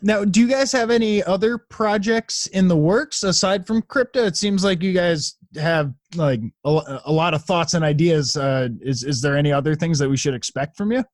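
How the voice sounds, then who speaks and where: Higher-pitched male host, dry sound. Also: normal speed, few pauses, no room sound, faint music behind. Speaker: podcast host, interviewer. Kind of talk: casual interview chat.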